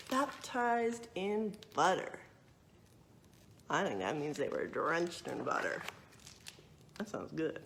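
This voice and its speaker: A woman's voice: mid-range voice, slight background noise. A woman reading a picture book aloud expressively, adding explanatory asides and questions for young listeners. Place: museum exhibit space.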